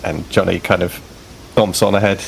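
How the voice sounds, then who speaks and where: Gravelly man playing a tabletop game. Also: low voice, steady tone, moderate pace, rough recording. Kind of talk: casual in-game chat. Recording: clear background noise.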